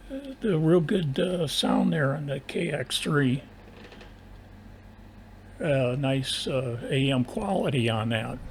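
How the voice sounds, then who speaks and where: An American man in a casual, technical ham radio conversation, explaining in a halting, rambling way over an AM radio transmission. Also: a little high, gravelly. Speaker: amateur radio operator. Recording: noisy AM shortwave radio transmission.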